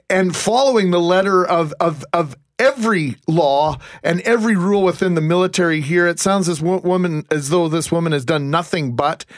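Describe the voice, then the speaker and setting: A man with a Canadian accent giving emphatic, haltingly phrased opinion commentary as a radio co-host. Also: fairly high pitch, dry recording, studio quality. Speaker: radio talk show co-host.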